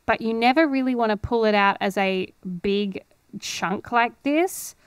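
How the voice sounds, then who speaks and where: Clear, higher-pitched woman. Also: a little slow, dry recording. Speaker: audio producer giving a tutorial. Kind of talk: casual screen-share tutorial. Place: indoor home studio. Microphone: headphones and close studio microphone.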